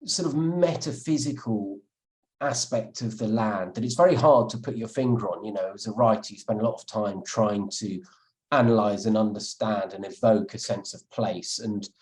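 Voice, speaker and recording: A man with a lowish voice answers an interviewer's questions about his book. He speaks thoughtfully, often stalling on fillers and restarting his sentences. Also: darkish tone. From England. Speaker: author interviewed as guest.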